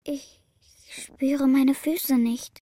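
A woman's voice: high-pitched, fairly even intonation, very slow, warm tone, no room sound, clean studio sound. An actress playing a brave, devoted young girl in a radio play, with an earnest, youthful delivery. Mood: dazed, weak.

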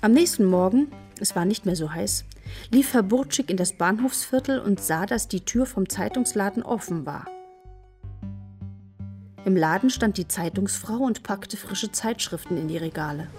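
A woman's voice: mid-range voice, animated, moderate pace, a little mellow, faint music behind. A woman narrator reading scripted storytelling passages for a children's radio play.

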